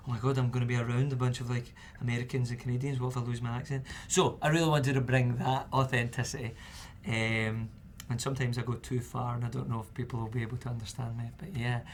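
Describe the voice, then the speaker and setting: Young guy chatting about acting, loose and rambly, mid pitch. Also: a little hiss or hum. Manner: chatty, relaxed, many fillers. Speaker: actor guest. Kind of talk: casual roundtable chat.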